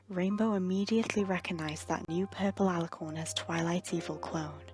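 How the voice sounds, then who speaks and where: A woman narrator reads a scripted story. Her voice sits a little low, and music plays clearly behind her. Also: rough recording.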